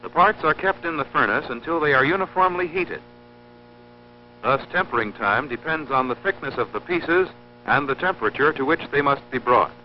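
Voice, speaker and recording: A man narrating an instructional film in a formal, scripted, measured and precise teaching manner. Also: on the high side, animated, a little hiss or hum. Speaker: narrator of an industrial training film. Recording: dull lo-fi old film soundtrack, humming.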